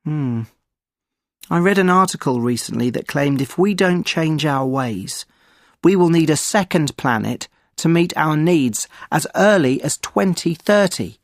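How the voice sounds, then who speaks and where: A man giving a measured, opinionated sample answer in a scripted exam speaking recording. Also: on the high side, lively intonation, dry recording, studio quality.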